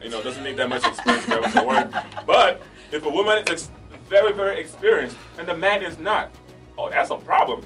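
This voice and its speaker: A man's voice: a little high, lively intonation, quick pace. A man from Africa, co-hosting a radio talk show and telling boastful, joking stories while laughing at his own lines. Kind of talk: casual talk radio banter. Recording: slightly distant mic, faint music behind.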